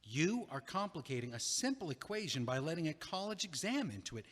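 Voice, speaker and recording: A man playing an earnest, easily flustered, loyal young friend in a naturalistic comedic audio play, speaking haltingly. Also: fairly high pitch, sing-song, a bit bright. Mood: earnest, insistent. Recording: echoey hallway-like reverb.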